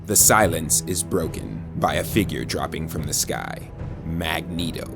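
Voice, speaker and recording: A man narrating an audio drama as a grave storyteller, with deliberate, dramatic scripted delivery. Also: extremely low pitch, lively intonation, vocal fry, over music, exaggerated voice. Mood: grave, somber. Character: grave, omniscient male storyteller.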